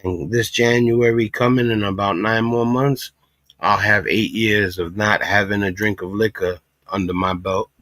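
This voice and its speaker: A man's voice: fairly low pitch, fairly even intonation, unhurried, a bit bright, dry recording, poor audio. A man from Puerto Rico hosting a casual reaction livestream, talking emphatically with frequent asides. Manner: emphatic, talks in repeated asides. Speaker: livestream commentary host.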